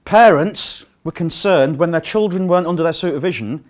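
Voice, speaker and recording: A man's voice: a little high, a little fast. British man lecturing to a conference room. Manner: projecting to fill a room without a sound system; lively, frequent ums and uhs. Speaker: conference speaker. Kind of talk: live conference talk. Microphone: no microphone.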